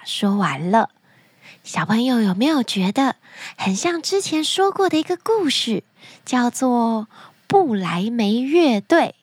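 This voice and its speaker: A woman narrating children's stories as a podcast host, expressive and speaking directly to young listeners. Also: fairly high pitch, no room sound. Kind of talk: animated storytelling narration for children.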